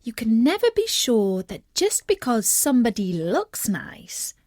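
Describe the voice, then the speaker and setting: A woman narrating a scripted children's story in a measured, expressive storyteller's manner. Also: a little high, dry recording.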